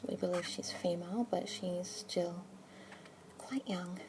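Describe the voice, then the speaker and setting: A young woman in a whispered ASMR roleplay as a pet adoption consultant, speaking slowly and gently in a soft unvoiced whisper. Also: fairly low pitch, fairly even intonation, background noise.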